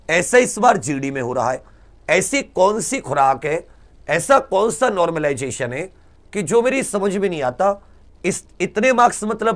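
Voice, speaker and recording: High-pitched, lively man explaining. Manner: emphatic, repeats points and asks rhetorical questions. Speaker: exam-prep teacher and presenter. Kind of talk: informal explainer of exam results to students.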